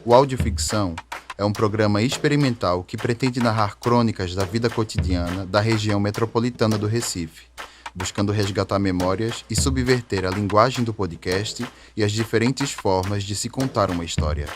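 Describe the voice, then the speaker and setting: A man's voice: lowish voice, normal speed, background noise. A man reading a scripted podcast outro and credits as the announcer. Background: music under the voice.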